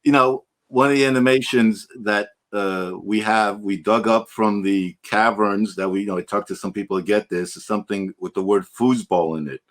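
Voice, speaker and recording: A man's voice: on the low side, steady tone, moderate pace, hardly any pauses. A man, a native Polish speaker, hosting a casual interview with joking interjections. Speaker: podcast host.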